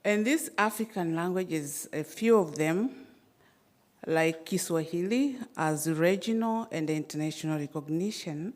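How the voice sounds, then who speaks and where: Slow-spoken Tanzanian woman presenting. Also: lowish voice, darkish tone. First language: Swahili. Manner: measured, hesitant with frequent fillers and restarts. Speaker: conference presenter. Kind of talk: academic conference presentation. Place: conference hall.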